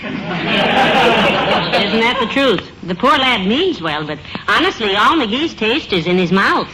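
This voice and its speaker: An actress playing a wry, long-suffering middle-aged wife in old radio comedy, with dry, teasing asides. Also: female voice, on the high side, sing-song, unhurried, a crowd in the background. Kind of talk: 1940s-50s radio comedy acting. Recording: noisy old radio broadcast recording.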